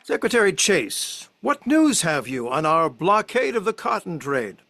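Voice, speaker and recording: A man reading the role of a deliberate, authoritative 1860s American president in a historical play. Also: high voice, very animated, sharp tone, heavily acted. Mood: deliberate, decisive.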